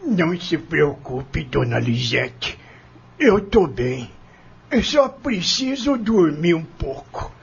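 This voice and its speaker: An actor playing a humble, weary old farmhand in an audio drama, calm and remorseful, with simple rustic speech. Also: male voice, fairly high pitch, vocal fry, husky, heavily acted. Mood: soothing, tired. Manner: slow, simple rustic speech with dropped grammar. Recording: dull lo-fi audio with music underneath.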